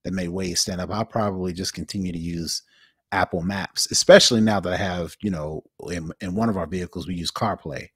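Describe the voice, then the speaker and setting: Low-voiced man on a tech podcast. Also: fairly quick, breathy edge, no echo, studio quality. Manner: relaxed, hesitant with many fillers. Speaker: podcast co-host. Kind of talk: casual tech chat.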